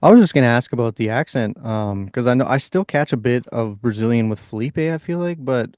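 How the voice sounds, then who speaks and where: A man's voice: mid-range voice, expressive, a little fast, darkish tone, no echo, studio quality. A man from Alberta co-hosting a podcast, joking and laid-back. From Alberta, Canada. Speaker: podcast co-host. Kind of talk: casual podcast banter.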